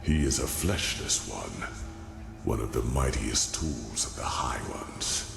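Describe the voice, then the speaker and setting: Ancient brooding sage from a fantasy game, super deep n gravelly, slow, echoey with music behind. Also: male voice, animated, heavily acted. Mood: grave, resigned. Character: ancient weary male sage revealing bleak cosmic truths. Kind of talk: solemn dramatic game monologue. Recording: echoey voice over clear background music.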